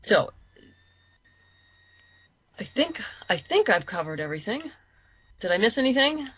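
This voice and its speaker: A woman with a bright, forward voice in casual interview chat. She speaks in halting, chatty bursts. The sound is close and dry, though a little dull. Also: fairly high pitch, sing-song, breathy edge, no room sound. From lives in Los Angeles. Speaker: interview guest, writer.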